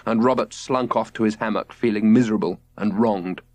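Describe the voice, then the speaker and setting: A man narrating a children's audiobook in an expressive, scripted storytelling style. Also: lowish voice, brisk, dry recording.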